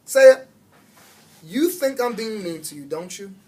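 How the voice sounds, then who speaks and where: A stern but caring woman teacher from a children's storybook, acted out by a man reading aloud. The voice is husky and lifted in pitch, pressing each point loudly and emphatically as it coaxes. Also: expressive, a little slow. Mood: firm, reasoning. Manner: loud, emphatic, coaxing.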